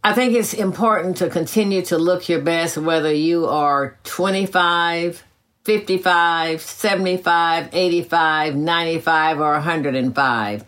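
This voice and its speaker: A woman in her eighties, a retired teacher, telling her own story in a gracious, measured way with soft laughs. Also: on the low side. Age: 80s. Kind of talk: reflective personal storytelling.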